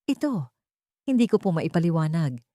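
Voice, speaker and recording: A woman's voice: fairly low pitch, big pitch swings, moderate pace, dark tone, dry recording, studio quality. A woman narrator with a Filipino accent voicing a troubled, earnest husband in an audiobook. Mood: flustered. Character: troubled husband, frustrated and flustered. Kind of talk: audiobook character dialogue.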